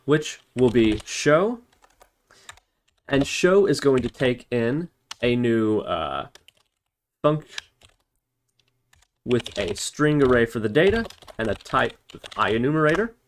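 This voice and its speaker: A man's voice: mid-range voice, big pitch swings, takes it slowly, pauses often, a little hiss or hum. A man narrating an informal screen-recorded coding tutorial as the instructor, explaining each step and thinking aloud as he works.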